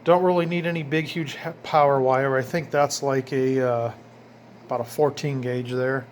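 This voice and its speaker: A man's voice: mid-range voice, steady tone, moderate pace. A man giving a casual, plainspoken walkthrough of his own do-it-yourself work, informally pointing things out as he goes. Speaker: DIY hobbyist showing his own install. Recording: noticeable ambient background noise.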